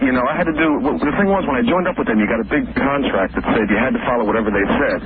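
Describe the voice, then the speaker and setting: A man's voice: medium pitch, rapid-fire. A man in his twenties calling a radio show from a payphone, telling an anecdote casually and earnestly, a little defensively. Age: 20s. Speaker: phone-in caller. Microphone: payphone handset. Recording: very noisy tinny payphone line.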